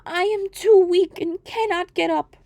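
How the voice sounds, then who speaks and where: Storybook grandmother, old and bedridden, played by a woman: feeble, raised pitch, drawn-out calls. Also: fairly even intonation, warm tone, dry recording. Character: weak, sickly old grandmother in bed.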